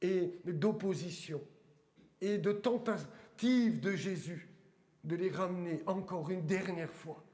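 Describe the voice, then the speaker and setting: Male preacher, notably high voice, eastern French accent. Teaches scripture and addresses the congregation directly. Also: slow pace, a little mellow. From eastern France. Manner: didactic, conversational asides to congregation. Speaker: pastor. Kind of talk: sermon with scripture reading.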